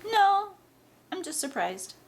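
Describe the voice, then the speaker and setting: A woman's voice: very high-pitched, very animated, slow, slight background noise, pitched above the performer's own voice, exaggerated voice. A woman voicing a reluctant, wary, grumbling teenage boy in a story reading. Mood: surprised, evasive.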